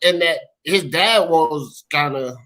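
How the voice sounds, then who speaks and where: A man's voice: a little high, slow, sharp tone. An American man hosting a casual sports talk show, blunt and slangy, swearing freely and emphatically. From United States.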